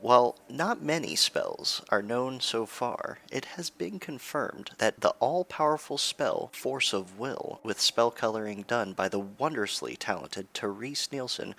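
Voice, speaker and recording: A man's voice: mid-range voice, expressive, unhurried, creaky, few pauses, fairly bright tone. A man playing an earnest news anchor in a fantasy radio news parody, with a polished, presentational delivery. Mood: earnest newsreading.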